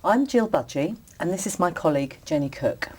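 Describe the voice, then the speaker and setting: A woman examiner conducting a speaking exam, asking questions in a polite, measured way. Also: fairly low pitch, animated, darkish tone, a little hiss or hum.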